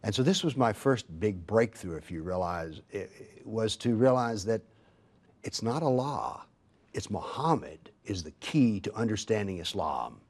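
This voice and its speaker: A man speaking as a talk show guest, recounting his personal journey in a measured, reflective way. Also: lowish voice, big pitch swings, a little mellow, breathy edge. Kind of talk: interview, personal testimony.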